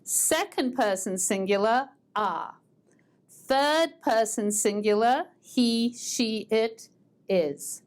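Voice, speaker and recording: An American woman teaching English online, taking her time and repeating each form for practice. Her voice sits a little high and she speaks slowly and clearly, as if pacing herself for learners. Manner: slow, patient, repeats words for drilling. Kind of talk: online grammar lesson. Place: language school in Boston.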